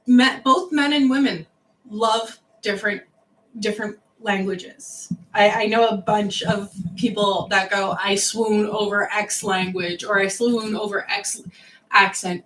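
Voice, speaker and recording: A woman's voice: medium pitch, a bit bright, rough recording. A woman co-host in casual chat, reading out viewer questions and chiming in with short remarks.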